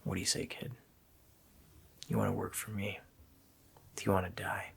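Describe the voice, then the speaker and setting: A man voicing an evil, mocking child-snatching Christmas demon in a slow, menacing, breathy rasp for an ASMR roleplay. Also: deep voice, little pitch movement, exaggerated voice. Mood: threatening. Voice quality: breathy, gravelly rasping whisper.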